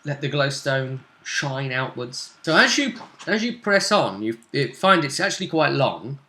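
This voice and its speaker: A man's voice: mid-range voice, big pitch swings, measured pace, pushed and bright. A man with a British accent casually recapping a tabletop role-playing session as game master, explaining things conversationally. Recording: echoey room.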